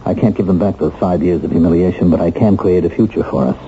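A man's voice: deep voice, fast talker, mellow, a little hiss or hum, close to an everyday voice. An American actor playing a bitter, determined middle-aged man just freed from prison, speaking earnestly and emphatically in 1970s radio drama style. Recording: dull vintage radio recording with music.

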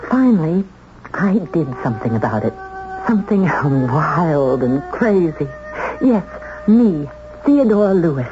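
A woman's voice: sits low, big pitch swings, measured pace, over music. An actress playing a sensible, wryly resentful unmarried woman of thirty-six in a 1970s American radio drama, with a dry, dutiful manner. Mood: wry, fed up. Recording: dull, noisy 1970s radio recording.

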